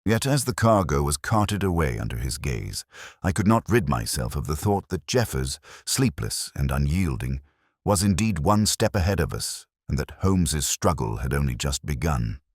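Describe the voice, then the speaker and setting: A man reading a scripted dramatic mystery story in a measured, grave manner. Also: a bass voice, sing-song, no echo, clean studio sound. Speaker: audiobook narrator.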